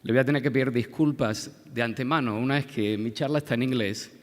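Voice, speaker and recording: A man's voice: medium pitch, steady tone. Argentine man lecturing in a reverberant hall. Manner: halting, many fillers and restarts. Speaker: visiting hematologist speaker. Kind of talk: medical conference lecture. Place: conference hall.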